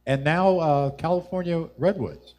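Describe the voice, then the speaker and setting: A man's voice: fairly high pitch, unhurried, darkish tone, slight background noise. A man hosting a live music show, chatting in an easygoing way with his guests and laughing often. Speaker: host.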